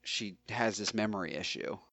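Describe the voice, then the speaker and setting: A man's voice: lowish voice, normal speed, gravelly, pushed and bright, no room sound. A man co-hosting a podcast in a loose, casual chat style. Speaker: podcast co-host.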